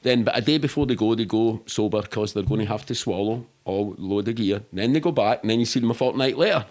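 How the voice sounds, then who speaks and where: Middle-aged man, Glaswegian accent, lowish voice, casual anecdotal chat, rambling and sweary, matter-of-fact. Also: fast, a little mellow. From Scotland. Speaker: podcast guest.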